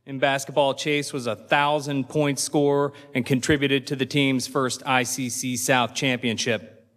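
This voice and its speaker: A man's voice: mid-range voice, little pitch movement, a little slow, a bit bright. Middle-aged man reading a formal introduction in a hall. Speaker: school official introducing the speaker. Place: large school auditorium stage. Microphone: podium microphone. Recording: reverberant hall.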